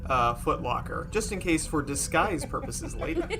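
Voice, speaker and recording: A man's voice: a little high, wildly swooping pitch, normal speed, creaky, bright, forceful tone, slight background noise. A man chatting casually as a player in a tabletop role-playing game.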